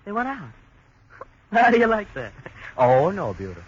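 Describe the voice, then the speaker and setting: A man's voice: high voice, wildly swooping pitch, normal speed. An actor playing a cocky young tourist in a 1940s radio drama. He wisecracks in slangy, brash talk. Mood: swaggering. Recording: lo-fi 1940s radio broadcast with faint hiss.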